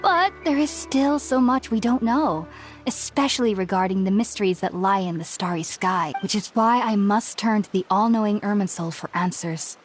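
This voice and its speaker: A woman playing a studious, polite young researcher in a video game, speaking formally, earnestly and with precise, measured phrasing. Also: mid-range voice, natural voice. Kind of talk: scripted game character dialogue. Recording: music behind the voice.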